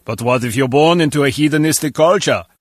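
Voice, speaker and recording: A man's voice: a little high, fast, no room sound, clean studio sound, lightly acted. An actor playing a mild, inquisitive male guard in a video game, talking conversationally. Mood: curious. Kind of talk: video game character dialogue.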